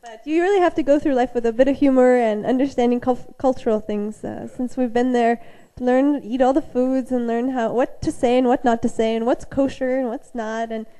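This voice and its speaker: A woman with a high, soft-edged voice talks in a relaxed, chatty way. She laughs easily and often between her sentences. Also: fairly quick, few pauses. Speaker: missionary worship leader. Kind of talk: casual chat.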